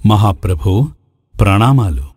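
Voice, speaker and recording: A man's voice: fairly low pitch, lively intonation, unhurried, darkish tone, slightly rough, no room sound, clean studio sound. A man playing a courtly, self-serving ascetic sage. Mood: deferential. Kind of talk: dramatised moral folk tale.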